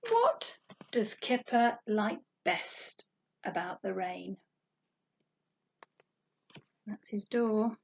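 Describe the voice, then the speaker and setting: A woman reading a picture book aloud to young children, animated and sing-song, drawing out words and asking questions. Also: mid-range voice, slow pace, creaky, slightly rough. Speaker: storytime reader for young children.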